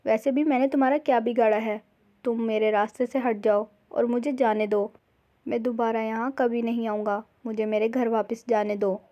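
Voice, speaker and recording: A woman's voice: a little high, fairly even intonation, moderate pace, warm tone, close to an everyday voice. A woman voicing a poor, principled village husband, earnest in manner, in a cartoon storybook tale. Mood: pleading. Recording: slightly dull, lo-fi sound.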